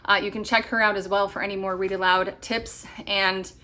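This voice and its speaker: A woman's voice: mid-range voice, flat delivery, a little fast, bright, forceful tone, a little hiss or hum. A woman in her thirties giving upbeat, chatty homeschool advice to camera, often laughing. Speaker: homeschool vlogger. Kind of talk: casual advice talk to camera.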